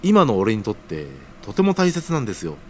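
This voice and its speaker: A man's voice: mid-range voice, wildly swooping pitch, fairly quick, slight background noise. A man acting an earnest, humble young junior worker in an audio drama, speaking casually but deferentially. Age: young adult.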